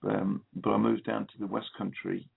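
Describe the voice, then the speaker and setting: Gravelly English man on a phone line. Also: fairly low pitch, level tone, moderate pace. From England. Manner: hesitant, many ums and restarts. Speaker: guest musician. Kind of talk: phone interview. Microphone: telephone.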